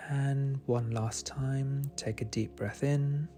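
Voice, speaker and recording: A man guiding a meditation in a soothing, gentle and unhurried manner. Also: medium pitch, steady tone. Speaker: meditation guide. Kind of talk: guided meditation narration. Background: soft meditation music.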